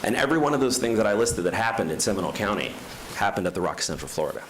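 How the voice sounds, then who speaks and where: A man's voice: fairly low pitch, very animated, very fast, slight background noise. A man giving an earnest, prepared public comment at a county meeting. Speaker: resident speaking at public comment. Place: county commission chamber.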